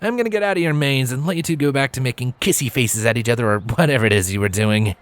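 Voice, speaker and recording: A man voicing a breezy young male pony in a storybook, a teasing buddy with a hurried manner. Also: medium pitch, no room sound, pitched above the performer's own voice, lightly acted. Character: cheeky, breezy young stallion, an old buddy.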